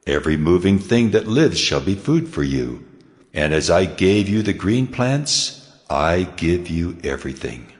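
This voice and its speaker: A man's voice: deep voice, very animated, a little slow. A man playing God as a solemn, commanding deity in a dramatised Bible audio reading. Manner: solemn, authoritative. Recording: long cavernous reverb.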